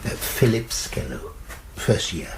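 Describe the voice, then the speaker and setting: An actor playing a shaken, dignified older head of a college, grave and hesitant, in a radio drama. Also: male voice, slow pace, natural voice. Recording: echoey room, faint noise.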